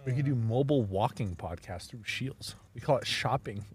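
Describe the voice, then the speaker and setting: A man's voice: medium pitch, animated, normal speed. A young man co-hosting a casual podcast from a parked car, dropping wry quips. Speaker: podcast co-host.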